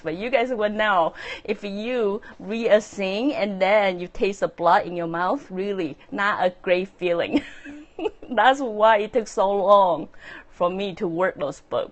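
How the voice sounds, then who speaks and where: A woman with a Chinese accent, speaking English as a second language, hosting a casual, earnest solo talk with many fillers and soft laughs. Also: lowish voice, dull audio. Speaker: podcast host and author.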